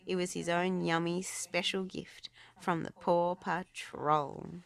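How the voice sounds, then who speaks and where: Children's book narrator: female, lower register, some vocal fry, expressive read-aloud delivery. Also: takes it slowly.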